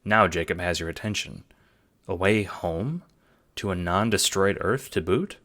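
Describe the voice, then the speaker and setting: A man's voice: low voice, unhurried, fairly bright tone. A man reading scripted audiobook narration as the narrator of an audio drama. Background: music.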